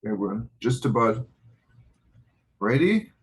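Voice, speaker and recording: A man's voice: mid-range voice, sing-song, slow pace, poor audio. A man hosting a live online show from his home, making loose, apologetic announcements with restarts. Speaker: host. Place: home room in Honolulu.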